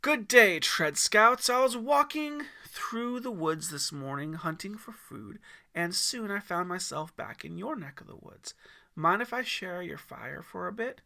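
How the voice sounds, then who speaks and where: A man playing a loud, hearty old mountain man. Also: high voice, expressive, unhurried, vocal fry, pitched above the performer's own voice, exaggerated voice. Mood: hearty greeting. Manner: folksy, hearty, slightly formal greeting.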